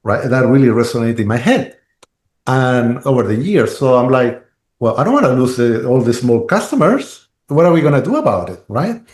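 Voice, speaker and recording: A man's voice: mid-range voice, animated, normal speed, a little mellow, roomy sound. A man, a guest on a podcast interview who rambles with restarts and keeps asking "right?". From Chile.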